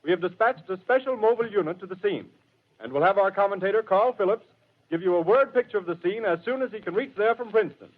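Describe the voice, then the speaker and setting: A man's voice: high voice, lively intonation, fast. An actor playing a formal male news announcer in a 1930s radio drama, delivering bulletins in a declamatory manner. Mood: formal, urgent. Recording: thin, tinny old 1930s radio broadcast.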